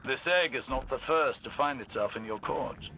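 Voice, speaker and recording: A man's voice: mid-range voice, normal speed, sharp tone, a little hiss or hum. A man playing a philosophical sage in a game, grave and formal, with an inquisitive manner. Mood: probing. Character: probing, philosophical male sage and warlock. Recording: faint music behind.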